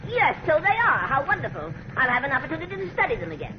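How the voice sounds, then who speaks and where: Excitable old male professor, absent-minded, quavering high voice, lively swings, 1940s radio drama. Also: fast, exaggerated voice. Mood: excited, absorbed. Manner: befuddled, stammering, repeating himself. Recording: muffled, noisy 1940s radio recording.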